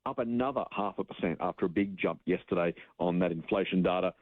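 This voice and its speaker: Over a narrow, phone-like line, an Australian man gives radio commentary. His voice is on the low side, and he explains things informally, with examples and asides. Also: fast talker, no echo. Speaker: finance commentator, radio guest.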